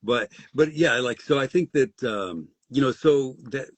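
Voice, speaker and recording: A man's voice: mid-range voice, unhurried, crowd noise. A man, an audiobook narrator, chatting in an interview in a thoughtful, rambling way with many restarts. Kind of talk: casual interview chat.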